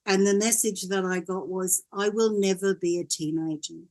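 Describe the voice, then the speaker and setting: An older woman from Wales, a guest in an interview, telling her story in a gentle, reflective way. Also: medium pitch, fairly even intonation, moderate pace. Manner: gentle, reflective, laughs often.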